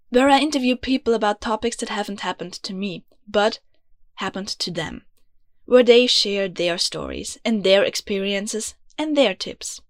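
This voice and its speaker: A young woman from Austria with an Austrian accent, a native German speaker, hosting her own podcast in an earnest, confiding way and sometimes dropping into soft, low tones. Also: no room sound, clean studio sound. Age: young adult. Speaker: podcast host. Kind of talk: scripted podcast monologue. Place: small closet.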